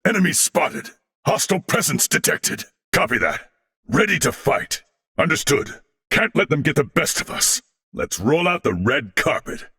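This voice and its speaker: A man's voice: a little high, big pitch swings, normal speed, vocal fry, bright, forceful tone, exaggerated voice. An actor playing a formal, disciplined, proud and loyal swordsman in a video game, speaking in theatrical, archaic phrasing. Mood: dutiful, resolute.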